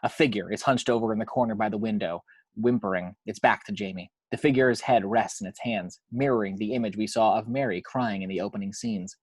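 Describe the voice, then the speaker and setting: A man's voice: on the low side, fairly quick, breathy edge, no echo. A man reading action descriptions from a screenplay as scripted narration.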